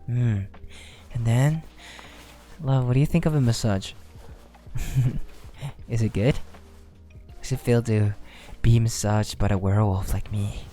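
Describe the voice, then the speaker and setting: Man playing a slow, mellow, tender werewolf boyfriend. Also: mid-range voice, fairly even intonation, soft background music, natural voice. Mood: soothing, loving. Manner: hesitant, affectionate, soft-spoken, often chuckling. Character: shy, tender male werewolf boyfriend, young adult. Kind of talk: soft romantic ASMR roleplay.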